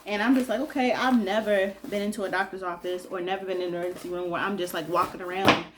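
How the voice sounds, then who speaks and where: A sick, congested young woman from Georgia chatting casually in a vlog, telling a story in an animated way and acting out what people said. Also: mid-range voice, fast, very noisy. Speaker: vlogger.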